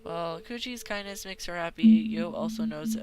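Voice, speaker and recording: Amateur female narrator, slightly low voice, stumbling read of visual novel text, loud game music behind. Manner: halting, stumbles over names and words. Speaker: amateur video maker.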